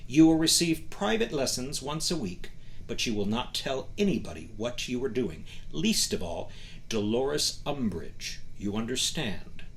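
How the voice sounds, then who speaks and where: A waspish, superior male wizard teacher from a storybook, acted out by a male reader. He speaks with silky sarcasm and delicate stress, his animated pitch sliding around as he sneers. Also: unhurried, slight background noise, low-quality recording. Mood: smooth, sneering.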